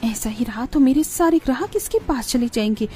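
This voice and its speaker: A woman's voice: high-pitched, animated, normal speed. A woman voicing a jealous, haughty rich restaurant owner in a moral cartoon, with a scolding, sneering manner. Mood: bitter scheming. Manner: scolding, sneering, sharp-tongued. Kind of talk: Hindi moral cartoon voice acting.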